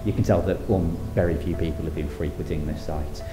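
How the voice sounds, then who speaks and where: A man's voice: deep voice, fairly even intonation, fairly quick, over music. A man in his thirties touring derelict sheds, with wry, chatty commentary and voice-over. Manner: wry, chatty, marvels at decay. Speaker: urban explorer vlogger. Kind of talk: on-location exploring commentary and voice-over narration.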